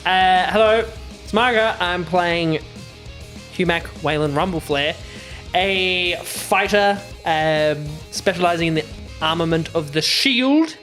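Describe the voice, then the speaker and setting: A man talking casually and a little haltingly as a player on a gaming podcast. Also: high-pitched, fairly bright tone, faint music behind. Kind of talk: casual group banter.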